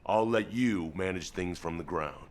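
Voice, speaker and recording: An actor playing a stern, proud, battle-worn soldier in a video game, blunt and bent on revenge. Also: male voice, unhurried, slight background noise. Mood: impatient, determined. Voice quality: deep, weighty. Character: gruff battle-worn male soldier, vengeful, proud, loyal. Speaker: actor voicing a game character. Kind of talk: scripted game dialogue.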